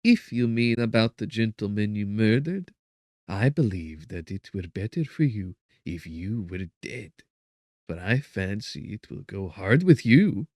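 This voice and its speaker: Man putting on a callous, higher-pitched old woman. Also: lively intonation, unhurried, darkish tone, dry recording, clean studio sound. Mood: cold, brusque. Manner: indifferent, unsympathetic, brusque. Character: callous, blunt old woman working as prison nurse.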